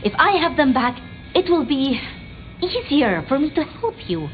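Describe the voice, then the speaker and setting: A flustered, devoted vampire scholar in a video game. Her voice is fairly high and very lively in pitch, stumbling and apologizing as it goes. The sound is slightly dulled. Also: phone-quality sound, faint music behind. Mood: anxious, pleading. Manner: stammering, hesitant, halting and apologetic. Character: timid, flustered, bookish female vampire researcher, devoted to her retainers. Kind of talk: video game dramatic dialogue.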